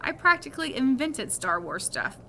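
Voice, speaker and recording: Woman playing an upbeat, bright-voiced male ear doctor. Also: sits high, very animated, moderate pace, a little hiss or hum, exaggerated voice. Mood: upbeat explaining. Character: friendly, reassuring male ear doctor, jokey and patient. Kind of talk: storybook read-aloud.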